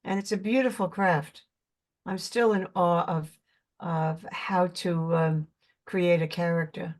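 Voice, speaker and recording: A woman's voice: lowish voice, fairly even intonation. Earnest older New York woman. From Brooklyn, New York. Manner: earnest, halting, repeats words while searching. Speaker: actress guest. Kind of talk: casual interview chat.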